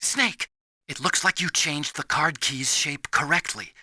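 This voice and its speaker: An actor playing an earnest, nerdy young American engineer in a video game, explaining things emphatically with anxious sincerity. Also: male voice, on the high side, unhurried, bright, forceful tone, no room sound, clean studio sound, heavily acted. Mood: urgent instructing. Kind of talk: dramatic video game voice acting.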